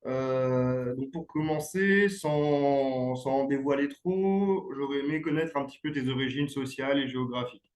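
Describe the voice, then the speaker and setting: A man hosting an interview, asking questions in a halting way with many fillers. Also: medium pitch, a little hiss or hum. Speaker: interview host. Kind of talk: casual interview. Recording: echoey room.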